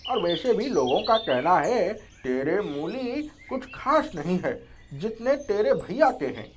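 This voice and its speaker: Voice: mid-range voice, very animated, measured pace, noisy background. An actor playing a meddling village friend who eggs others on in a pushy, chiding way. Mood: goading. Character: meddling, goading village friend of a young farmer.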